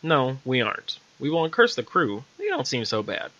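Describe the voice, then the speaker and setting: A man's voice: mid-range voice, sing-song, moderate pace, pushed and bright, muffled recording. A man voicing a wry, casual female witch who offers curse removal in a read-aloud story. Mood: scheming.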